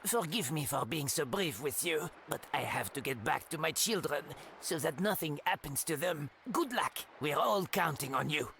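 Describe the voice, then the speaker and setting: Older mole guide: gruff, helpful, gravelly, expressive pitch, cartoon game. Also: male voice, moderate pace, few pauses, background noise. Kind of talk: cartoon adventure game acting.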